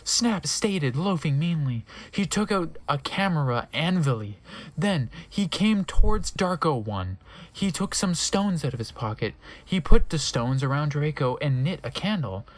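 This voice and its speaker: Slow, theatrical man reading with lively swings. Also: a little high. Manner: dramatic, exaggerated, breaking off to react. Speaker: reader of a comedic dramatic reading. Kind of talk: theatrical reading of fan fiction with baffled asides.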